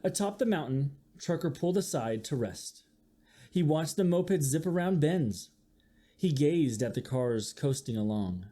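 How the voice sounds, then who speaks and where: Very animated, mellow male storybook reader. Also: on the high side, measured pace. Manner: expressive, acts out sound effects, asks listeners questions. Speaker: teacher reading a picture book aloud to children. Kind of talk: animated children's storybook read-aloud.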